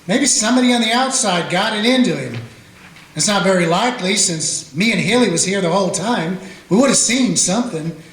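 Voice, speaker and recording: A man's voice: sits high, animated, brisk, a bit bright. A man playing a plain-spoken small-town Western sheriff who also narrates, with folksy grammar. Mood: steady. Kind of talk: amateur radio play acting with narration. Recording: roomy echo.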